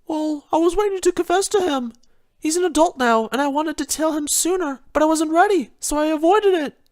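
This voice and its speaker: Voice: high voice, fairly quick, a little mellow, voice pushed up, lightly acted. A narrator voicing an elegant, flustered adult female pony in a storybook reading, coy and hesitant.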